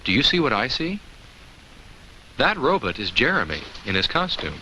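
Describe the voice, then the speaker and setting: A man's voice: medium pitch, huge pitch swings, normal speed, sharp tone, full character voice. A man voicing a cheerful, kindly talking pumpkin who gives children simple safety advice in a 1980s educational film, asking them questions. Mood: gently cautioning. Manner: simple, instructive, addresses children with questions and chuckles. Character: talking jack-o'-lantern, cheerful, kindly, safety-minded narrator. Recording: faintly noisy old educational film soundtrack.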